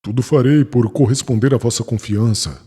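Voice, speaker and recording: A man's voice: mid-range voice, normal speed, natural voice. A man voicing an earnest, respectful young male traveler in an audiobook. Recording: distant, reverberant, noisy.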